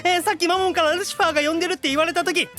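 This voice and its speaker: A man voicing a nervous, excitable young demon otaku in an anime-style audio drama, flustered and eager. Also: extremely high pitch, racing, faint music behind, voice pushed up. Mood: flustered, apologetic.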